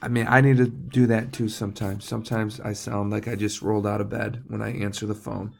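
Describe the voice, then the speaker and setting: Gravelly-voiced man giving staff instructions. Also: lowish voice, flat delivery, brisk, a little mellow, faint music behind. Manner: plain-spoken, instructive, checks understanding with tags. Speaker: golf course manager training new staff. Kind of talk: informal staff training talk.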